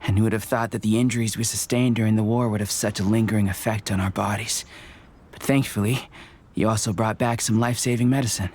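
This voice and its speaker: A man's voice: on the low side, fairly even intonation, fast, darkish tone, lightly acted. Man acting a friendly, earnest veteran soldier. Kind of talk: anime video game voice acting.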